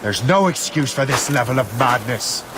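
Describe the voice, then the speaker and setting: An actor playing a defiant, conscience-stricken young assassin in a dramatic video game. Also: male voice, medium pitch, expressive, moderate pace, noisy background, natural voice. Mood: grim resolve. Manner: brooding, impassioned.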